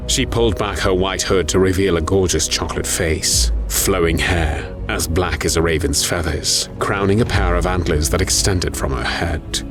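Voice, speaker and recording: A man's voice: low voice, moderate pace, hardly any pauses. A man reading scripted, dramatic narration for an audio story. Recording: music under the voice. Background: music.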